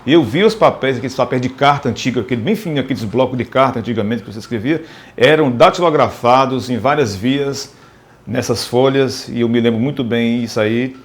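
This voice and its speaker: Male interviewee, medium-pitched, unscripted reminiscing that circles back and repeats. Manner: halting, repetitive phrasing. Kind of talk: spontaneous personal recollection.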